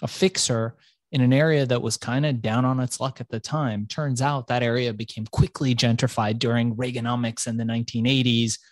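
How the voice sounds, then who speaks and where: A man's voice: medium pitch, brisk, no echo. Guy from iran, farsi native, swaggery cursing storyteller, dry close sound. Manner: swaggering, profane, animated storyteller. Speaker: entrepreneur guest. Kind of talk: storytelling interview.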